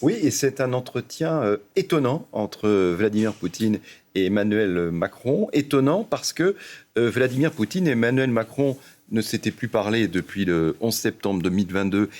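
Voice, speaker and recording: A man's voice: lowish voice, animated, moderate pace, darkish tone. A man with a French accent from France giving explanatory political news analysis as a commentator, emphatic and addressing his listeners directly.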